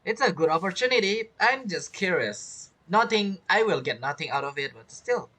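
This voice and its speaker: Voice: mid-range voice, very animated, normal speed, pushed and bright, a little hiss or hum, poor audio. A game streamer giving casual, rambling and playful commentary while playing. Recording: faint game music behind the voice.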